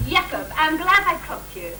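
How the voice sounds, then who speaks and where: Woman playing a bright, lovesick pantomime suitor. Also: sits high, sing-song, normal speed, some chatter behind, a little hiss or hum, exaggerated voice. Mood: earnest, smitten. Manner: theatrical, declamatory. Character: lovesick grown male suitor, earnest pantomime romantic hero. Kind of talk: amateur Christmas pantomime acting in rhyme. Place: live staff pantomime in a hall. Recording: echoey, distant amateur stage recording with audience.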